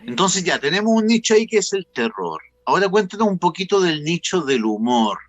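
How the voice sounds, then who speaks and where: A man's voice: high voice, very animated, a little fast, no room sound. A man with a Chilean accent hosting a casual, conversational interview. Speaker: host interviewer.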